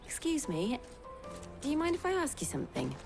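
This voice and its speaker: An actress playing a composed, determined and gently kind woman adventurer in a fantasy video game. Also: high voice, wildly swooping pitch, a little fast, creaky, over music, exaggerated voice. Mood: gentle. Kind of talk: dramatic video game acting.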